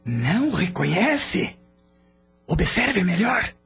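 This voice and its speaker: A wise, patient spirit mentor played by a man in a radio drama. The voice is high for a man and swoops expressively, with a husky grain. The recording is a bit dull. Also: big pitch swings, drawn-out words, exaggerated voice. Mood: patient, reverent. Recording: dull lo-fi audio with background music.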